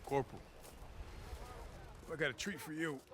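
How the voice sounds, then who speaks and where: An actor playing a wisecracking soldier who needles the others sarcastically. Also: male voice, fairly high pitch, moderate pace, noisy background, natural voice. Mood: sarcastic. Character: mocking, wisecracking male soldier. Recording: game scene with gunfire behind.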